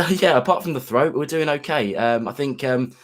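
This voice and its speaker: A man with a sore, gravelly throat hosting a casual whisky livestream, friendly and full of ums and restarts. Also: medium pitch, normal speed.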